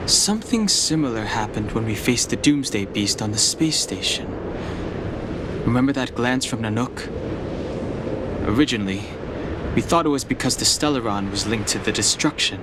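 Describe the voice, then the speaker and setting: A man's voice: medium pitch, lively intonation, moderate pace, very noisy, close to an everyday voice. A man voicing a reserved, level-headed young male companion in a video game cutscene, speaking matter-of-factly. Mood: calm.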